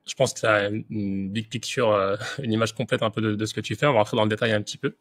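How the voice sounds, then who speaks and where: A man's voice: lowish voice, steady tone, fast talker, no room sound. A man hosting a podcast interview, asking questions casually and informally. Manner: casual, informal, short backchannel replies. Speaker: podcast host. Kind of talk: interview conversation.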